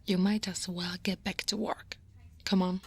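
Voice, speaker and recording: A woman from Italy, speaking with an Italian accent, voicing a weary and guarded female dragon office coworker. She talks in a soft, tired half-whisper close to the mic in an ASMR roleplay. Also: lowish voice, level tone, unhurried, dry recording, heavily acted. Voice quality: breathy.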